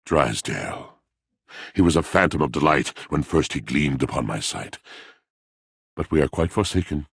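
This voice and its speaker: Super deep gloomy washing machine for a game, raspy, grandiose poet, really swoops around dramatically. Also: male voice, animated, moderate pace, pauses often, dry recording, studio quality, exaggerated voice. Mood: anguished. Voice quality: gravelly, rumbling voice. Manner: theatrical, florid, pompous, lamenting diction. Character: brooding, melancholy male washing machine, a grandiose wounded poet. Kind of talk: video game character voice acting.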